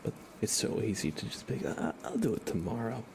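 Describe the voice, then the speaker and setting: A man speaking softly and gently in a casual ASMR livestream style, often drifting into a whisper. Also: fairly low pitch, very animated, a little fast, creaky, background noise. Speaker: ASMR live streamer, host. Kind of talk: soft-spoken ASMR livestream, casual chat and tarot reading.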